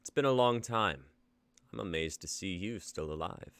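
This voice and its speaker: A man playing a battle-hardened young warrior, calm and taunting, in a live script reading. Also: deep voice, normal speed, smooth, no echo. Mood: cold taunting.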